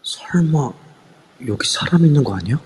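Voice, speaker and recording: Guy doing a nervous ghost hunter, raspy, voice jumps all over, echoey. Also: on the high side, expressive, normal speed. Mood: urgent. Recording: long reverb over a voice app.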